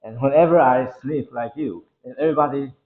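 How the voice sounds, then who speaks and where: A man with a Taiwanese accent lecturing to a class, explaining technical material haltingly and often repeating his phrases. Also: mid-range voice, narrow, tinny audio. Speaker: university lecturer. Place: indoor classroom.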